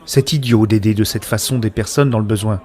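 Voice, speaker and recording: A man playing a passionate sceptic in a scripted science-fiction audio drama, speaking in a serious, deliberate, reproachful way. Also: lowish voice, mellow, lightly acted. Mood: cold, firm. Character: Abel, sceptical passionate man guarding privacy and real feeling. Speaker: voice actor.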